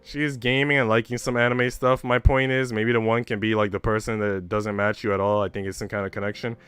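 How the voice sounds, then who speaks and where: A man's voice: on the low side, little pitch movement, fast talker, fairly bright tone, clear, resonant tone, no room sound. A man hosting a livestream, talking casually and candidly to his viewers in a chatty way with some casual swearing. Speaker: livestream host. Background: background music.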